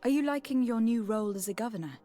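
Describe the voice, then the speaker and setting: An actress playing a caring young noblewoman and envoy in a video game, speaking in an earnest, measured, formal way. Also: on the high side, mellow, soft background music, lightly acted. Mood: concerned, polite. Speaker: voice actor. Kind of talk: video game cutscene dialogue.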